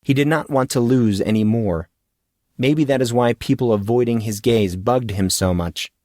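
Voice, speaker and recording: A man narrates a fiction audiobook in a medium-pitched voice. The delivery is scripted storytelling, and the sound is very dry, with no room around it. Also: moderate pace, darkish tone, no echo.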